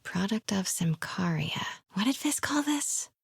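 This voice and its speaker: A woman playing a plucky, quick-witted young female investigative reporter in a video game, with a breathy voice. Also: medium pitch, very animated, dry recording, clean studio sound, heavily acted. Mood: hushed, focused. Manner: hushed muttering to herself.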